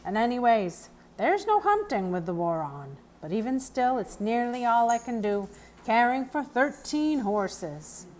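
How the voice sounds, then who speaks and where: A woman voicing a gruff but kindly old English countryman who works as a stableman in a children's storybook. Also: a little high, big pitch swings, measured pace. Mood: friendly, instructive. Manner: plainspoken, rustic turns of phrase. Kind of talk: children's novel read aloud, character voice.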